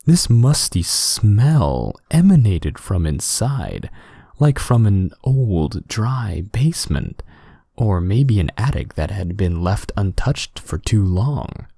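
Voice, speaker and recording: Male narrator for bedtime horror tales: lowish, mellow voice, expressive pitch movement, close dry sound. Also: takes it slowly, gravelly, breathy edge, no room sound. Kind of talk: scripted horror story narration, relaxing ASMR-like bedtime reading.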